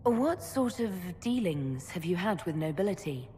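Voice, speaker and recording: A woman's voice: on the low side, very animated, moderate pace, dark tone, a little hiss or hum. An actress playing a dry, wry young noblewoman hero in a fantasy video game. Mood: curious. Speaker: game protagonist. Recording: reverberant game audio with faint ambience.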